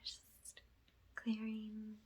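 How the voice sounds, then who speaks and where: A woman speaking in hushed whispers and soft speech as an ASMR energy healer, pausing often through repetitive, incantatory phrases. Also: mid-range voice, completely flat delivery, a little hiss or hum. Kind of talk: spiritual ASMR, soft guided clearing.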